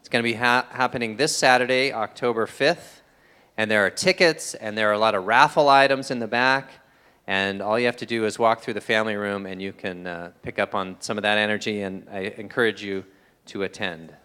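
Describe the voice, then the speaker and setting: A man's voice: lowish voice, a little fast, bright, forceful tone, smooth. A man giving friendly church announcements with light jokes to the congregation. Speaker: announcements host at a spiritual center.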